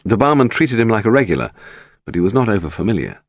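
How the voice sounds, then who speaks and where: A man's voice: fairly low pitch, big pitch swings, quick pace, no echo. A man with an English accent reading a scripted mystery story as an audiobook narrator.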